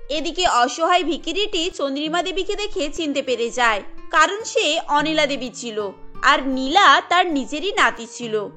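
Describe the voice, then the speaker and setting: A woman's voice: high voice, expressive, measured pace, bright, forceful tone, faint music behind. A woman giving scripted narration for a cartoon story.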